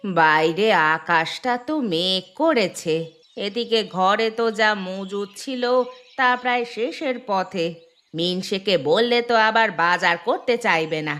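A woman's voice: mid-range voice, measured pace, lightly acted. A woman voicing a jealous, spiteful village wife who grumbles sarcastically in a fairy-tale cartoon. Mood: grumbling. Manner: sharp-tongued, sarcastic, complaining.

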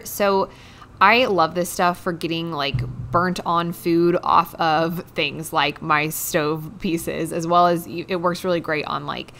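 Low-pitched woman, chatty voice-over. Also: sharp tone, a little hiss or hum. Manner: conversational, chatty. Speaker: home cleaning vlogger. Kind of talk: casual voice-over explaining chores.

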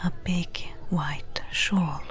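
A seasoned female adventurer, played by a woman, whispers practical advice in a fantasy ASMR roleplay. Her voice is breathy and a little low. She takes her time over each phrase, gentle and caring, like someone readying a companion for the desert. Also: steady tone, soft background music. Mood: gentle, advising. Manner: gentle, unhurried, advising.

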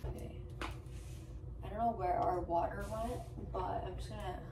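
A young woman with a hoarse voice from being sick, chatting to her phone camera in a hotel room in a bubbly, gushing way. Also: mid-range voice, fairly even intonation, moderate pace, dark tone, a little hiss or hum. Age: young adult. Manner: bubbly, gushing, talks to viewers as guys. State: hoarse, lost voice from illness. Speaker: travel vlogger. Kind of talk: casual vlog chat to camera. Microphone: handheld phone camera at arm's length.

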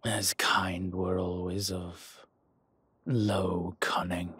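A suave dragon mob boss acted by a man schemes in a deep, breathy voice. He speaks slowly and evenly, with deliberate pauses, in a close, dry audio roleplay. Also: flat delivery, a bit bright, no echo, full character voice. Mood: scheming. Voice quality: husky, breathy. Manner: measured, smooth-talking, deliberate pauses. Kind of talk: slow, intimate scripted roleplay monologue.

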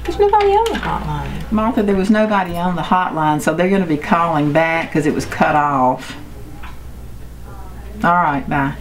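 A woman's voice: deep voice, sing-song, moderate pace. A middle-aged woman, a school guidance director, chatting casually in an office. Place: small school office, indoors. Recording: noisy office, room camera pickup.

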